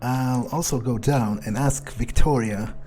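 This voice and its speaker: A man roleplaying a jaded, ruthless, arrogant vampire in a game, speaking in a halting, dismissive, sighing way. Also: medium pitch, dark tone, slight background noise, natural voice. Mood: matter-of-fact. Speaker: video game player roleplaying his character. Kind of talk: in-character improvised playthrough monologue.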